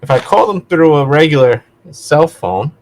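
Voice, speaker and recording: A man's voice: fairly high pitch, big pitch swings, normal speed, rough recording. A man talking casually as a vlog host, digressing and correcting himself as he goes in a conversational way. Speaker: video host, vlogger. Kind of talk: casual rambling spoken vlog.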